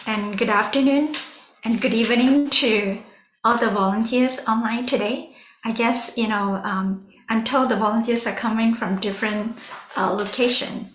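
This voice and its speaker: Measured woman in an echoey room. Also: on the high side, steady tone, fairly bright tone, a little hiss or hum. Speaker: volunteer speaker. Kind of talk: prepared online sharing talk.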